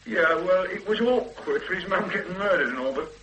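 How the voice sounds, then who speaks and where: Brief: sardonic radio-play sergeant, lively high-ish voice, bright tone. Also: male voice, brisk, slight background noise, low-quality recording. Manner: dry and sardonic. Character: wry, sardonic male police sergeant. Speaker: police detective sergeant. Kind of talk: radio drama acting.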